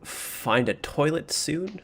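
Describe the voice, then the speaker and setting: A man with a creaky voice, casually narrating a tabletop game as the game master. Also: fairly high pitch, lively intonation, slow pace, a bit bright, a little hiss or hum.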